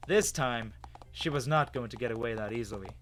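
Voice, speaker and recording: A man giving an expressive, dramatic first-person reading of a story as its narrator. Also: medium pitch, fairly quick. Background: music.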